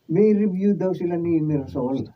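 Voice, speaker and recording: A woman's voice: lowish voice, normal speed, lightly acted. A Filipino woman playing a sly, teasing young student buddy in a radio drama. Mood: confiding. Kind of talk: Tagalog radio drama acting. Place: radio drama studio with ambient noise behind. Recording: dull, distant, faintly noisy studio camera sound.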